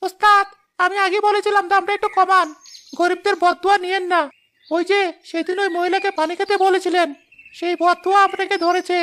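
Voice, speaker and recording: A woman's voice: extremely high pitch, level tone, fairly quick, a bit bright, a little hiss or hum. A woman voicing a young shop helper in a cartoon who talks back to his boss in a blunt, complaining way. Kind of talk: cartoon voice acting.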